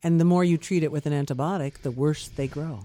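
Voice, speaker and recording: A woman, a guest doctor on a radio show, explaining clinical topics in a measured way. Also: low voice, mellow. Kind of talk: radio interview.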